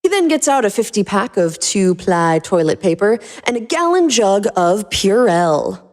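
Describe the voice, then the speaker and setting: A woman's voice: mid-range voice, very animated, unhurried. A woman narrator reading a scripted story.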